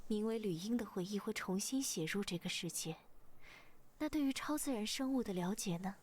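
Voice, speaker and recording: A woman's voice: medium pitch, brisk, lightly acted. An actress playing a solemn young woman in a visual novel. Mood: serious, probing.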